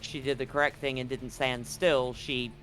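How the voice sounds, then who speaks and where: A man's voice: mid-range voice, level tone, moderate pace, fairly bright tone. A man improvising casual, sweary narration as game master of a tabletop game. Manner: casual, profane. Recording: music behind the voice. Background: music.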